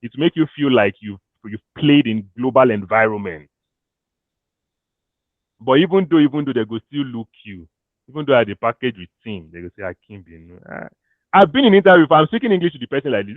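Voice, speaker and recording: Man, Nigerian accent, career coach, animated, pidgin phrases, phone-line sound. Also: mid-range voice, fast talker, no room sound. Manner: animated, switches into pidgin, laughs often. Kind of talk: casual talk to an audience. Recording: thin phone-like dry audio.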